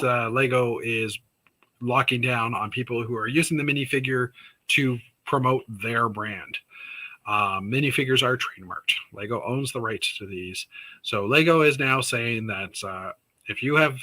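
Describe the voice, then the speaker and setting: A man with a raspy sore throat from Canada, hosting a casual livestream chat and rambling through news with many fillers. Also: medium pitch, bright, forceful tone, low-quality recording. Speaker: host of a weekly livestream chat.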